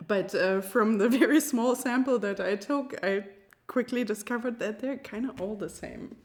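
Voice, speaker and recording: A woman's voice: on the high side, normal speed, a little mellow. A woman, an amateur writer, chatting casually about her own writing and often laughing as she talks. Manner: giggly, laughs often while talking.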